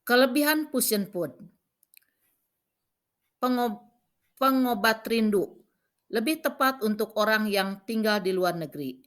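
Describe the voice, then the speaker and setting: A woman, a teacher, narrating a scripted lesson and reading out points in short, measured, instructional phrases. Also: fairly high pitch, animated, fairly bright tone. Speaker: vocational school cooking teacher.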